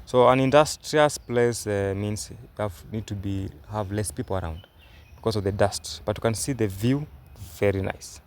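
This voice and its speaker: A man's voice: fairly low pitch, moderate pace. A young Kenyan man whose first language is Swahili, giving hesitant, explanatory travel commentary from inside a moving car, recorded on a phone, with many fillers and restarts. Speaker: travel vlogger.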